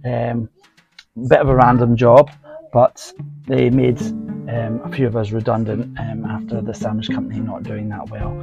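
Man, gravelly mid-pitched voice, husky, storytelling read then casual chat, faint music behind. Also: muffled recording. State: voice getting husky. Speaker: podcast host. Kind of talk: reading scripted stories aloud, then casual aside.

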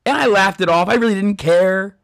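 A man's voice: high voice, brisk, gravelly, no room sound, clean studio sound. A man in his thirties giving an earnest, conversational devotional talk on scripture, speaking straight to listeners and telling personal stories. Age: 30s. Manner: addresses listeners directly.

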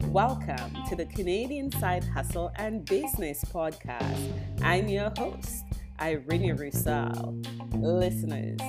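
A woman hosting a podcast with an upbeat, emphatic announcer-like delivery. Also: on the low side. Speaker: podcast host. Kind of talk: upbeat scripted podcast introduction and interview. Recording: loud music behind the voice.

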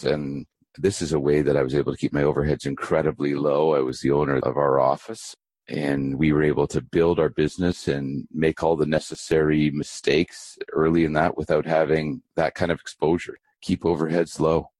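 Canadian-born guy, super low husky voice, dry close sound, talks business advice slow and firm. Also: a little mellow, no echo. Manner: measured, emphatic business advice. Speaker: entrepreneur guest. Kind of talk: podcast interview.

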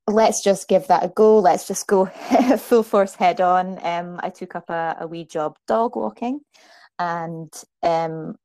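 A woman's voice: on the low side, mellow, no echo. A Scottish woman in her thirties chatting casually about herself as a guest, with a self-deprecating, talkative manner. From Aberdeen, Scotland. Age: 30s. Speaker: podcast guest, fursuit maker. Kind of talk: casual chat, telling own story.

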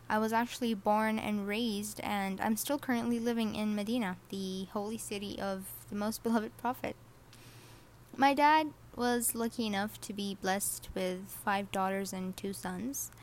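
A woman's voice: a little high, a little hiss or hum. A teenage girl from Saudi Arabia hosting her first podcast episode, chatty, self-deprecating and a little nervous. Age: teens. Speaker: podcast host. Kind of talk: casual personal podcast monologue.

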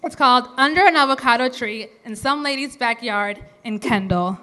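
A woman's voice: fairly high pitch, normal speed, bright, forceful tone, a little hiss or hum. A woman hosting a live storytelling show, introducing it to the audience.

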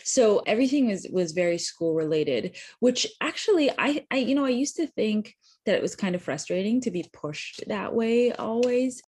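A woman's voice: mid-range voice, expressive, normal speed, darkish tone, husky. A woman in her thirties, a physician guest on a podcast, talking in a chatty way with many likes and restarts. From Canada. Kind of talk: casual interview chat.

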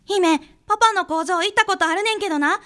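A woman's voice: very high voice, fast talker, bright, forceful tone. A woman playing a sweet, proud little schoolgirl with a childlike Kansai accent in a radio drama. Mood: proud, excited.

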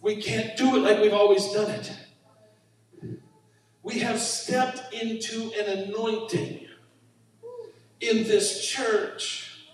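Male pastor, very high voice, fervent shouting sermon, captured from afar in a booming hall. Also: flat delivery, takes it slowly, long pauses, a little hiss or hum. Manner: impassioned preaching, often shouting. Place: church sanctuary. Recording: very echoey hall, mic far off.

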